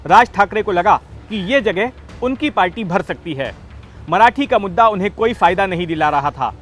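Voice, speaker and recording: Male political explainer narrator, high register, emphatic scripted storytelling, light music underneath. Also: a bit bright. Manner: emphatic storytelling with rhetorical questions. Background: background music.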